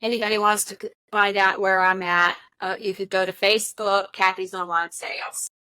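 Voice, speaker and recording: A woman's voice: medium pitch, fairly even intonation, normal speed, sharp tone, clear, resonant tone, dry recording. A woman in her sixties, a podcast co-host, chatting casually and rambling a little, laughing often. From Virginia. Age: 60s. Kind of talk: casual interview chat.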